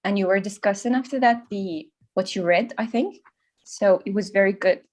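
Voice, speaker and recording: A young woman in an online discussion group, speaking haltingly in a learner's English, full of fillers and restarts. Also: medium pitch. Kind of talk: online casual chat.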